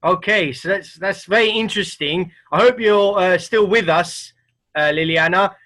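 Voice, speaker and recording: A male host with a notably high, bright, forceful voice runs a casual interview. He rambles and fills his speech with lots of filler words. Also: moderate pace. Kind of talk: casual interview over video call.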